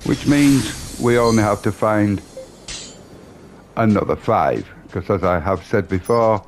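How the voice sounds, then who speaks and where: A man's voice: on the low side, slow pace, a little hiss or hum. A man hosting a gaming channel, giving walkthrough commentary over a video game.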